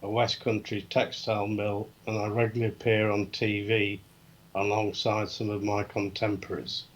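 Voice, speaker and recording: Man, low voice, quiz reader, steady, matter-of-fact. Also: moderate pace, low-quality recording. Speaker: quiz guest. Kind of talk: reading quiz questions.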